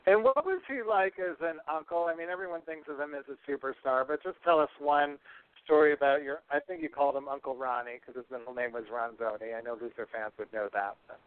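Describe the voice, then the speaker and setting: Clear-voiced man hosting, phone-like sound. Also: a little high, brisk, no echo. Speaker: podcast host. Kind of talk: upbeat show hosting and interviewing.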